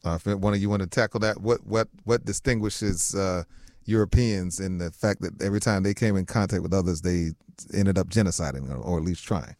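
Low-voiced male radio host, dry sound. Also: fairly even intonation, a little fast, darkish tone, no echo. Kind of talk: live talk radio hosting.

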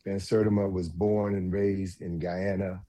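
A man speaking as a radio panel guest, discussing thoughtfully in deliberate, halting phrases. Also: low voice, level tone, dark tone.

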